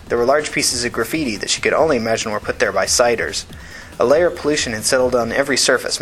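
Man narrating a novel, measured and matter-of-fact. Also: medium pitch, fairly bright tone. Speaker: podcast narrator. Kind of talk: scripted audiobook narration of fiction.

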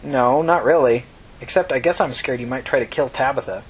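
A woman's voice: extremely low pitch, fairly quick, husky, exaggerated voice. A woman voicing a blunt, frank young street friend in casual audiobook dialogue.

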